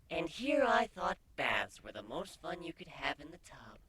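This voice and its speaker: A man voicing an affectionate young female changeling pony, softly and breathily, with a gentle, teasing manner, for a fan fiction reading. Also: on the high side, big pitch swings, moderate pace, pitched above the performer's own voice, full character voice. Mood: playful, cozy. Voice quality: soft, breathy, half-whispered.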